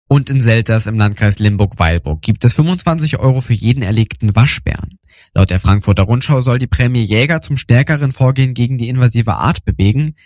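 A man's voice: medium pitch, darkish tone, dry recording, studio quality. A man reading scripted regional news on the radio as a news presenter.

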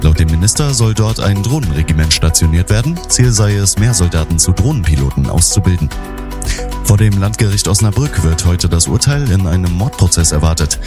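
A man reading regional radio news in a measured, formal way. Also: low voice, expressive, over music. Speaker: news presenter. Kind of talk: scripted regional news read.

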